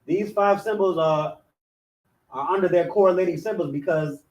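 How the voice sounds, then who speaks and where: A man's voice: high voice, normal speed, distant mic, dull audio. Man teaching a class from off-mic. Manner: conversational, repeats "you know what I'm saying", frequent restarts; chants letter names loudly. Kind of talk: casual teaching of an alphabet class.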